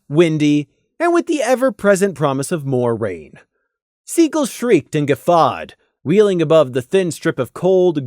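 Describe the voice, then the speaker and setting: Audiobook narrator for fantasy fiction, mid-pitched, animated delivery, dry sound. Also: dry recording.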